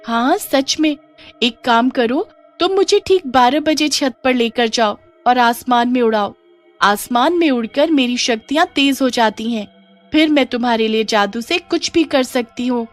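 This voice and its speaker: A woman voicing a friendly, powerful ghost kite with dramatic, drawn-out delivery in a storybook tale. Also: on the high side, natural voice. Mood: eager. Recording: music behind the voice.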